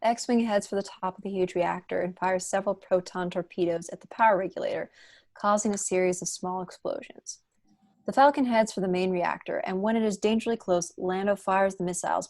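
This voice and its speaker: A woman's voice: mid-range voice, steady tone, brisk, a little mellow, dry recording, clean studio sound. A woman narrating the stage directions of a screenplay at a table read.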